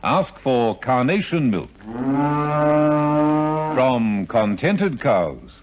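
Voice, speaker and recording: A man reading a vintage radio commercial with a soft touch and careful emphasis on an archival recording. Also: medium pitch, big pitch swings, drawn-out words, highs cut off. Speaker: commercial announcer.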